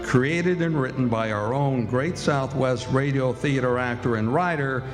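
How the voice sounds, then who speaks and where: A man's voice: mid-range voice, a little slow. Male announcer for a live vintage-style radio show, mid-range voice, big hall reverb, music behind. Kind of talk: scripted radio announcing and old-time commercial reading.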